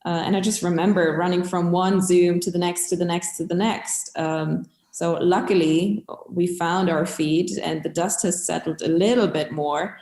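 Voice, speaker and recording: German-accented woman team lead, chatty and upbeat, lowish voice, roomy echo. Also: fairly even intonation, moderate pace, few pauses, a little mellow. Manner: chatty and upbeat, with frequent fillers. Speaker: customer success team lead. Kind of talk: casual interview talk.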